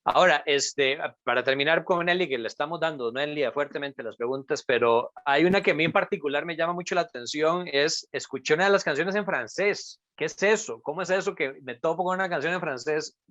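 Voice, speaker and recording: A man's voice: fairly high pitch, a little fast, few pauses, fairly bright tone, no echo. A man hosting an interview over a live video stream, asking questions eagerly with frequent fillers. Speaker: interviewer host. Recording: dull, dry video-call audio.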